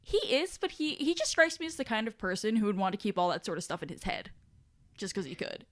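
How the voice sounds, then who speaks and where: A woman co-hosting a podcast, speaking in casual, animated banter. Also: mid-range voice, quick pace. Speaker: podcast co-host.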